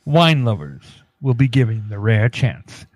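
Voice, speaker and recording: Slow, animated higher-voiced man on a comedy podcast. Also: no echo. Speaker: comedy podcast co-host reading odd news. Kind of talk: casual comic banter and news reading.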